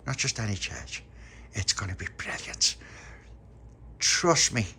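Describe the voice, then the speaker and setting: A man voicing an eager, excitable little male puppy in a storybook. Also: lowish voice, unhurried, husky, exaggerated voice. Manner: bouncy, enthusiastic.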